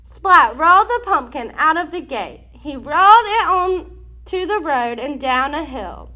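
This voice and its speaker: Very animated, high-pitched woman storyteller. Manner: dramatic, deliberate emphasis. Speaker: storybook narrator. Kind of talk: expressive children's read-aloud.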